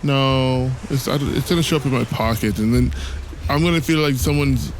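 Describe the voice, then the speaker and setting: A man's voice: medium pitch, brisk, slight background noise. A man playing a tabletop game, casually describing what his character does.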